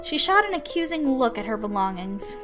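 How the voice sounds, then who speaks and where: A woman reading a visual novel aloud as expressive first-person story narration. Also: on the high side, a little fast. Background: music.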